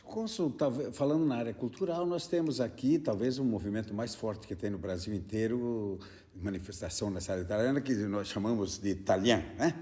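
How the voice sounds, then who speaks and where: A man's voice: lowish voice, a little fast, a little mellow, slight background noise. A man with a Brazilian accent, putting conversational questions as an interviewer.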